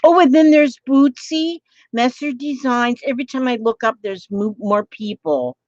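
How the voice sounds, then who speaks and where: A woman hosting a live crafting stream, talking softly with her viewers and gasping over pretty papers. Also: sits high, normal speed, no room sound, highs cut off. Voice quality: gentle voice. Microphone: phone.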